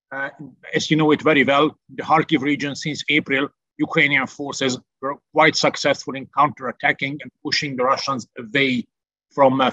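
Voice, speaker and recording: A man's voice: medium pitch, pushed and bright, no echo. A man from Hungary with a Hungarian accent gives a methodical military briefing over a video call, with frequent filler sounds. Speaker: military analyst. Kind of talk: briefing over a shared map. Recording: dull lo-fi online call audio.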